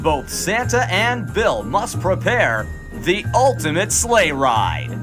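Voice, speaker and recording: A man's voice: high-pitched, very animated, takes it slowly, music in the background, heavily acted. A man voicing a dramatic, portentous trailer announcer in scripted comedy narration.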